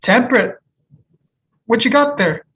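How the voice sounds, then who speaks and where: An actress playing an easygoing, wry job applicant in a sitcom table read. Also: female voice, on the high side, lively intonation, a little slow, fairly bright tone, slight background noise. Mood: upbeat. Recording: distant home microphone.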